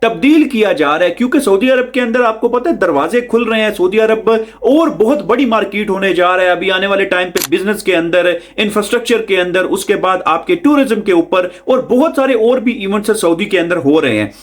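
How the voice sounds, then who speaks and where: A man's voice: high-pitched, lively intonation, brisk, few pauses. A man hosting a news explainer vlog, talking conversationally to the listener and repeating phrases for emphasis. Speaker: video host.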